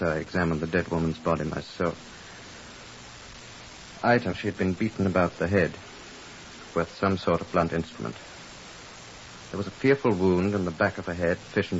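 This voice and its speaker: An actor playing a brisk, dry Scotland Yard superintendent in a 1950s radio drama, formal, measured and matter-of-fact. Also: male voice, low-pitched. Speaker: narrating detective. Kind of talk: 1950s radio drama acting, first-person case narration. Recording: hissy, muffled 1950s radio recording.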